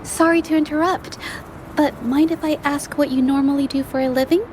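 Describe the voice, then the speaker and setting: A woman voicing a gentle, thoughtful young female painter in game dialogue, speaking softly and carefully. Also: sits high, fairly even intonation, fairly quick, slight background noise, close to an everyday voice. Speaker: game character.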